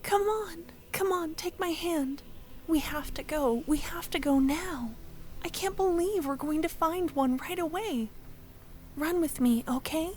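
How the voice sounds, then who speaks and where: A woman playing a shy, excitable, tender young friend in love in a soft-spoken ASMR audio roleplay, breathy and often near a whisper. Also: extremely high pitch, a little slow. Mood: excited, urgent.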